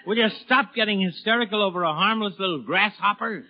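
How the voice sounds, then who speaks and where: A man's voice: high voice, quick pace, noisy background. An actor playing a blustering, sarcastic middle-aged father in a theatrical, put-upon 1940s radio comedy style. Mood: exasperated, indignant. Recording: muffled old 1940s radio broadcast.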